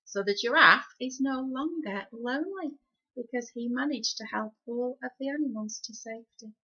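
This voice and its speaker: A woman reading a children's story expressively, with deliberate, dramatic phrasing. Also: on the high side, pushed and bright, slightly rough. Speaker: storybook reader. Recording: slightly dull, lo-fi sound.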